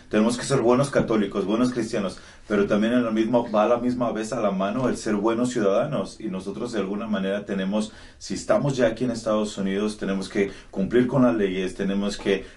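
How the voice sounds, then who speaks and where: A man's voice: on the low side, brisk, hardly any pauses, darkish tone. A man in his forties hosts the talk and speaks earnestly and encouragingly, sharing personal stories. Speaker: host. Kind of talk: conversational interview talk. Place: small office with bookshelves, indoors.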